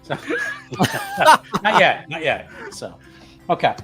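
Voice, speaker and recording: A man's voice: a little high, huge pitch swings, takes it slowly, sharp tone, soft background music. A man playing a tabletop roleplaying game, speaking casually and hesitantly as he thinks aloud.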